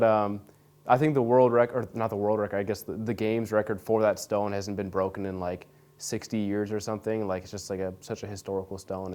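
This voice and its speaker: A young man answers interview questions in a loose, rambling way, leaning on fillers and restarting his sentences. His voice sits low and sounds smooth and clear. He talks casually, like he is chatting with friends. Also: darkish tone. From Nebraska, USA. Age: young adult. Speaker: interview guest. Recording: radio studio interview.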